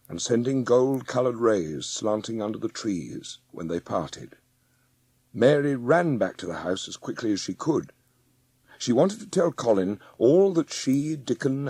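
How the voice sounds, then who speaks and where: Male storybook narrator, medium pitch, husky, lively intonation, dry recording. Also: no echo.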